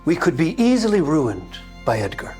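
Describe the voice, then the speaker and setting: A man's voice: a little high, huge pitch swings, normal speed, a little mellow, faint music behind. A man playing a stern, calculating middle-aged father of a noble house in a period audio drama, commanding and prodding. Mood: placating. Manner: commanding, clipped, prodding with questioning hums.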